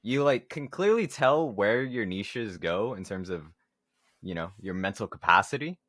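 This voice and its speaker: A man's voice: lowish voice, expressive, normal speed, a bit bright, dry recording, studio quality. A young man chatting casually as a podcast co-host. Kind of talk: casual chat about games and movies.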